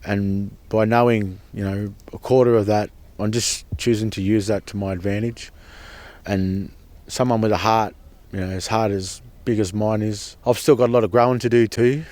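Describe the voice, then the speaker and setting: A man's voice: on the low side, fairly even intonation, moderate pace, darkish tone, no echo. A man giving an earnest, impassioned personal account as a protester, often repeating and restarting his words. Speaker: protester at a sacred site camp.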